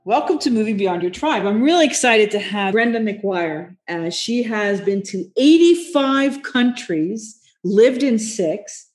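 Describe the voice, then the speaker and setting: A Norwegian-born woman hosting an interview podcast, bilingual, with enthusiastic, quick exclamations. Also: mid-range voice, lively intonation. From Norway. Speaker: podcast host.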